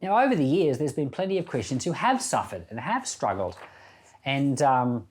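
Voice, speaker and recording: Guy pastor doing a relaxed-format bible talk, mid pitched voice, chatty and reflective like telling stories. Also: moderate pace, breathy edge. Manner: conversational, reflective, storytelling. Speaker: pastor giving Bible commentary and prayer. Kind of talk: informal sermon, Bible teaching.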